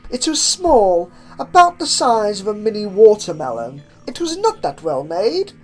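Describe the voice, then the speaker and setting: A woman reading a horror story aloud as a narrator, with deliberate, theatrical emphasis. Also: medium pitch, very animated, a little mellow, soft background music, rough recording. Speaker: narrator reading fan fiction.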